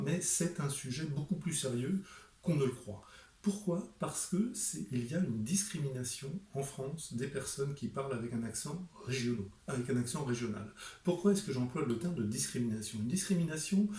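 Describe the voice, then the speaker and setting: A middle-aged man talks straight to the camera about his book, pressing his points with rhetorical questions and frequent hesitations. His voice is mellow and dark. It sounds roomy and a little far from the mic. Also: mid-range voice. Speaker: author presenting his book. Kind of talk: direct-to-camera book presentation.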